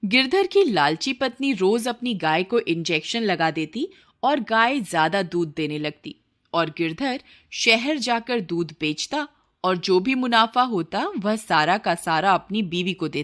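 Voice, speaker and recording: A woman narrates a cartoon moral tale. She reads as a scripted storyteller in a medium-pitched voice. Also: fairly bright tone.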